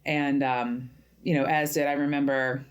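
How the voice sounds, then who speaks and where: A woman's voice: rumbling low, flat delivery, normal speed. A woman in her early forties from central Pennsylvania talks as a podcast guest. Her stories land with a wry, teasing edge. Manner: wry, joking, storytelling. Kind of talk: casual chat.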